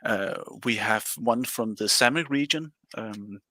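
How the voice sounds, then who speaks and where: A man's voice: lowish voice, measured pace, pushed and bright, dry recording, studio quality. A man in his forties from Denmark, a guest chatting casually on a podcast and rambling with many restarts. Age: 40s. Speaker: guest, scenario writer.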